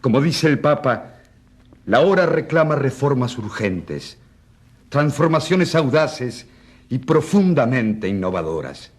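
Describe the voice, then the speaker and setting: An actor playing an earnest, crusading rural priest, giving impassioned, deliberate oratory in a radio drama. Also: male voice, mid-range voice, big pitch swings, husky, heavily acted. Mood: impassioned, indignant.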